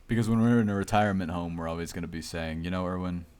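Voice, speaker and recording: Casting: male podcast co-host, lower-pitched voice, jokey and mock-exasperated in casual movie chat. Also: fast talker, a little mellow, smooth. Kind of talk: casual joking film chat.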